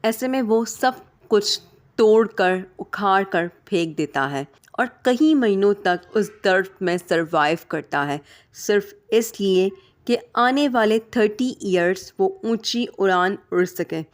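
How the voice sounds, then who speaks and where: A woman hosting a vlog, giving an earnest motivational voice-over that speaks straight to listeners, her voice heavy and hoarse from a sore throat. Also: medium pitch, lively intonation, slow pace. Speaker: vlog host.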